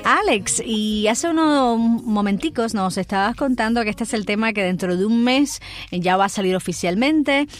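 A woman's voice: a little high, expressive, moderate pace, soft background music. A woman hosting a radio show, interviewing a guest in an upbeat, questioning way. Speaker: radio host. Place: radio studio.

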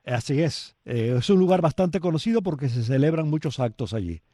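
A man's voice: a little high, lively intonation, a little fast, mellow, dry recording, clean studio sound. A man hosting a radio show, introducing music and interviewing a guest on the phone in a friendly, chatty way. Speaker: radio host.

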